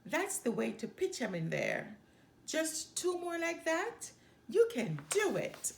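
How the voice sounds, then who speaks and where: A woman voicing an eager, sporty young boy bear cub from a children's storybook, in an expressive manner. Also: extremely high pitch, slow pace, vocal fry, voice pushed up, full character voice. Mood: excited. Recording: echoey room with faint background noise.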